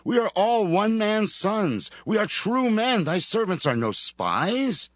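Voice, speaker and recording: A man voicing a humble Hebrew brother pleading his innocence, drawn-out, in a dramatized Bible audio story. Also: high-pitched, very animated, dry recording, studio quality, pitched above the performer's own voice, exaggerated voice. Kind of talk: dramatized scripture reading.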